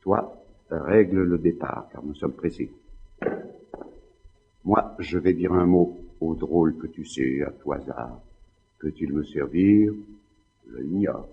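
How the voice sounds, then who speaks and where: A man's voice: a bass voice, slow pace, creaky, long pauses, exaggerated voice. An actor playing a proud, scheming Spanish nobleman in a classical French stage drama, in a theatrical, declamatory manner. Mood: scheming, vengeful. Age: middle-aged. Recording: slightly dull, long hall reverb.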